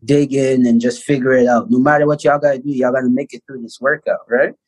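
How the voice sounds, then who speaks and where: A man in his thirties from Canada, a basketball player telling stories as an interview guest in a casual, animated way. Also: medium pitch, quick pace. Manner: animated storyteller, acts out quotes loudly.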